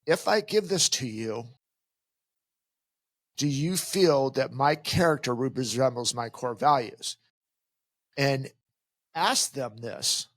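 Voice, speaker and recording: Podcast co-host, middle-aged man, mid-pitched, emphatic, slips into quoted voices, close dry sound. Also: lively intonation, slow, pauses often, fairly bright tone, no echo. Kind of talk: casual advice chat.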